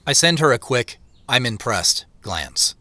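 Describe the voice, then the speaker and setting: A bright-voiced, observant male narrator. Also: medium pitch, big pitch swings, no room sound. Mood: quietly observant. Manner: measured, descriptive. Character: Joseph, observant, wistful grown man back visiting a farm. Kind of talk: first-person audio drama narration.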